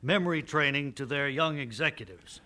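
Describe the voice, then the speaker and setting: An actor playing a self-assured but evasive memory expert in a deadpan radio comedy interview, earnest and halting. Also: male voice, on the high side. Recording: noisy, clicky old radio recording.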